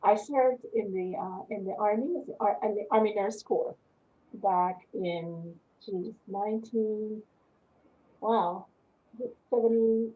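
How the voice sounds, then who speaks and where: A woman in her sixties from Panama speaks with a West Indian accent. She talks at an everyday pitch, rambling and laughing often as a podcast guest. Her voice comes through a narrow, tinny phone-like line. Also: slow pace, a little hiss or hum. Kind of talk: casual interview.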